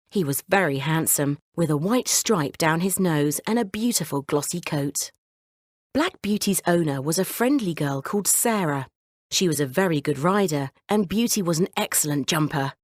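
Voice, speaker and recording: Female storyteller, lowish voice, measured phrasing, dry close recording, children's bedtime narration. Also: dry recording.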